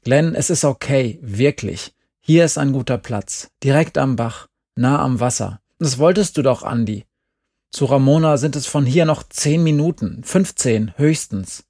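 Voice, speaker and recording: A man voicing a calm, reassuring young teenage girl in an audiobook. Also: medium pitch, a little slow, no room sound, studio quality. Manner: soothing, steady.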